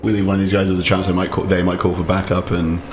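A man's voice: sits low, level tone, quick pace. A man playing a tabletop role-playing game, chatting casually and jokingly with the table. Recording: music behind the voice.

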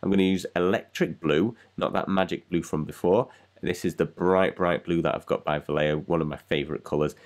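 Deep-voiced male tutorial host, congested from a cold, rambling and easygoing. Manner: rambling, self-deprecating, chatty. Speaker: miniature painting channel host. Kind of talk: casual painting tutorial voice-over.

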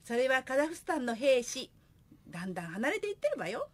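A woman's voice: high-pitched, very animated, fast. A young woman playing an eager, cheeky singer daughter in a comic radio drama. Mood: bantering.

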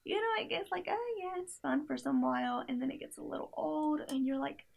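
A young woman talks through a playlist in a relaxed, chatty way, stacking up fillers and small giggly asides. Her voice sits high and carries plenty of gravelly fry. She is recorded on a camera mic in a small indoor room. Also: a little fast. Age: young adult. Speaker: playlist reviewer. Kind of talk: casual chat.

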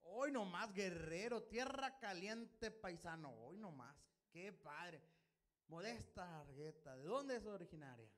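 Mexican male game show host, jokey, very expressive intonation, small studio. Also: sits high, measured pace. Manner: joking, teasing, lively. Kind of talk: playful game show hosting. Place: small studio set, indoors. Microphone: handheld mic.

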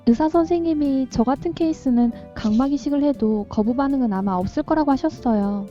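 A woman with a very high but mellow voice reads visual novel lines aloud. The sound is dull and lo-fi, with music underneath. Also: fairly quick. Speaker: co-reader playing the heroine on a game stream.

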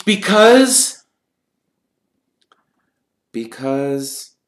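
A man voicing an earnest, defensive schoolboy from a storybook, protesting loudly. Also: mid-range voice, big pitch swings, extremely slow, roomy sound, pitched above the performer's own voice. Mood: flustered. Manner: loud, protesting.

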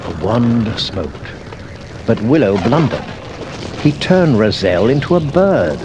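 A man's voice: medium pitch, big pitch swings, takes it slowly, noisy background. A man reading scripted storybook narration, with film score music and sound effects behind him. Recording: loud orchestral music under the voice.

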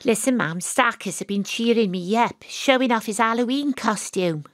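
An actress with a Welsh accent playing a wry, exasperated psychic private detective in an audio drama. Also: female voice, fairly high pitch, normal speed, no room sound, studio quality, lightly acted. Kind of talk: audio drama acting, dialogue and first-person noir narration.

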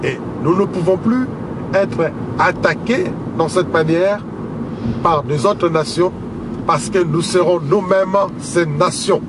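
Loud engine and road noise fills a moving car. A man with a Congolese accent speaks in a fairly high voice, at a very slow pace. His political monologue comes in deliberate short phrases broken by pauses. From Democratic Republic of the Congo. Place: inside a moving car on a motorway, while driving. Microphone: camera on passenger side.

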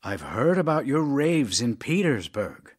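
A man's voice: medium pitch, big pitch swings, unhurried, husky. An actor playing an ailing, tender, wry writer reading his love letters aloud in an audio drama, in a theatrical, intimate manner. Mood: teasing, tender. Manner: theatrical, wry, intimate, shifting from emphatic to hushed.

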